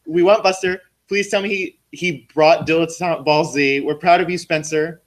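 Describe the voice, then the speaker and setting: A young man talking on a live podcast in a living room, holding a handheld mic. His voice sits a little high, and he gets excitable, breaking into bursts of enthusiasm as he reads out viewer comments. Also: normal speed. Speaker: podcast co-host. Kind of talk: casual chat on a live podcast. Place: home living room, indoors.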